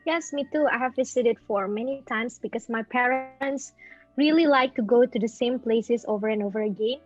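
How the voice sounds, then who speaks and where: Young woman, high-ish voice, bubbly, dull lo-fi recording. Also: moderate pace, faint music behind. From Indonesia. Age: young adult. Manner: bubbly, easily excited. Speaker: guest, final-year student. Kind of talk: casual chat. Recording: dull lo-fi sound.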